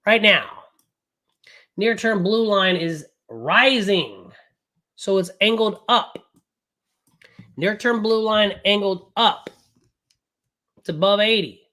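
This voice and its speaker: A man running a live trading class, recovering from a cold, talking in a loud, brash and profane way with lots of rambling asides. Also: high-pitched, lively intonation. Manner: casual, fast and rambling, with frequent "right?". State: recovering from a cold, voice not fully back. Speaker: day trading coach.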